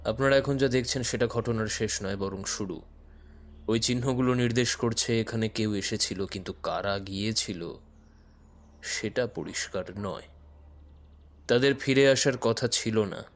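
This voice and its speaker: A man playing a calm, grave, enigmatic investigator who speaks in measured riddles in an audio drama. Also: fairly low pitch, lightly acted. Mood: grave, knowing. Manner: cryptic, measured delivery trailing off softly. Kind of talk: dramatic audio story acting.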